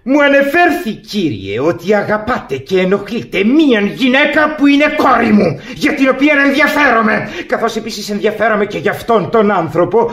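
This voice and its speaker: Pompous, high-pitched old baron. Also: male voice, expressive, few pauses, fairly bright tone. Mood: pompous, proud. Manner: pompous, formal, declamatory. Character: pompous old baron, proud and formal father-in-law. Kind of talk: classic radio theatre comedy acting.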